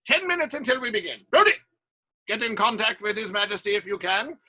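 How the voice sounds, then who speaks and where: A man's voice: sits high, big pitch swings, a little fast, sharp tone, heavily acted. A man playing a bossy, imperious playwright who leads a theatre troupe, with dramatic delivery in an audio play. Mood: brisk orders.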